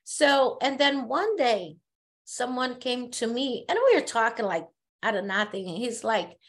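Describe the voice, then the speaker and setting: A woman from Brazil with a Brazilian Portuguese accent, a therapist speaking as a podcast guest, telling her story earnestly with halting restarts. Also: a little high. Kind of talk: casual conversation.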